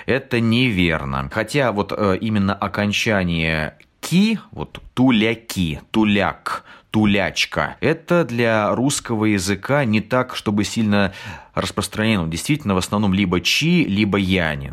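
A man's voice: deep voice, fairly bright tone. A middle-aged man from the Tula region with a local Russian accent, a tour guide chatting and telling stories with frequent hesitations. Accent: Tula Russian, akanye (non-stressed O said as A). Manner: hesitant with frequent "э" fillers, storytelling, acts out dialogues. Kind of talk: casual explanatory chat.

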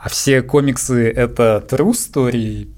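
A woman's voice: extremely low pitch, measured pace, a little mellow. Woman interviewer asking casual questions.

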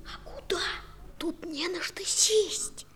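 An actress playing a curious, eager small child in a 1960s children's audio play. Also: female voice, very high voice, slow pace, a bit bright, in a whisper, heavily acted.

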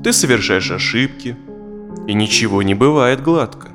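A man's voice: mid-range voice, expressive, moderate pace, over music, lightly acted. Man voicing a blunt talking broken door. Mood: blunt, taunting. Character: talking door and floor, blunt bearers of hard truths. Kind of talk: audio drama role-play.

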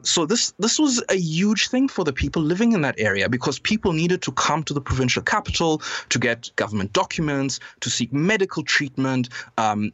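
A man from Zambia with a Zambian accent, speaking as a guest commentator in an earnest, measured way that builds to emphatic points. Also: medium pitch, fairly bright tone, no room sound, highs cut off. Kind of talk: conversational analysis on a podcast.

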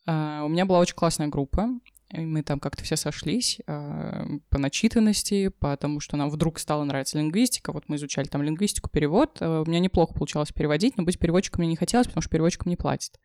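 A young woman, an English teacher, telling her story in a casual chat, with many fillers and quick laughs. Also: low voice, dark tone, no room sound, clean studio sound. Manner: fast, chatty, lots of fillers and laughs.

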